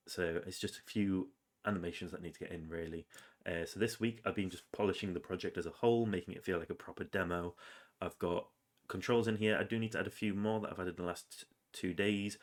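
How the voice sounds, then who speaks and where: A man with a cold, casually narrating his game's progress over gameplay with plenty of ums and uhs. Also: deep voice. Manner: relaxed, rambling with many ums and uhs. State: has a cold, sniffly. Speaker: game developer.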